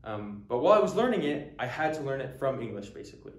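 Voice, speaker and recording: A man's voice: medium pitch, very animated, quick pace. Earnest young man in an echoey room. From United States. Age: early 20s. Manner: earnest, frequent ums and restarts. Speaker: language teacher. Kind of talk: casual talking-head introduction.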